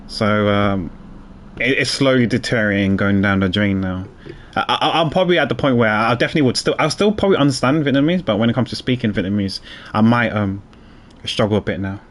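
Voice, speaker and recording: Low-voiced streamer chatting casually. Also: male voice, quick pace. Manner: rambling, many restarts and fillers. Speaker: game streamer. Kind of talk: casual chat, reading out chat.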